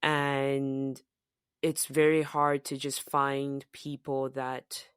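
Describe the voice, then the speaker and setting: Low-voiced slow Zambian woman. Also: level tone, clean tone, no room sound, studio quality. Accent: Zambian, softened by years in the US and UK. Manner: reflective and searching, with frequent fillers and restarts. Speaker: podcast co-host. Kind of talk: casual conversational podcast chat.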